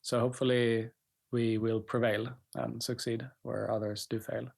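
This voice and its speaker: A man, a startup co-founder, talking in a thoughtful, measured way with frequent pauses and fillers during an interview. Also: mid-range voice, level tone, gravelly, a little mellow. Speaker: startup co-founder and CTO guest. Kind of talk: reflective conversational interview.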